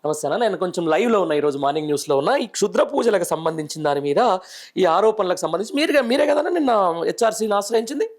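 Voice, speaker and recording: A man's voice: high voice, lively intonation, fast. A middle-aged man with a Telangana accent, hosting live political news commentary with emphatic, indignant rhetorical questioning. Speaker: news show host, journalist.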